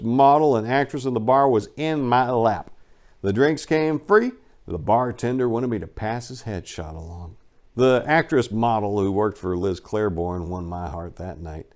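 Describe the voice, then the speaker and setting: A man's voice: medium pitch, unhurried, a little mellow. A man giving a scripted dramatic reading of a first-person memoir, as an audiobook narrator. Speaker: audiobook reader.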